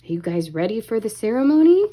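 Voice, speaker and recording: Animated, mellow-voiced woman reading a storybook. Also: a little high, fast. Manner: animated, addressing young listeners. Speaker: children's storybook reader. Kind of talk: expressive read-aloud narration, pausing to ask children questions.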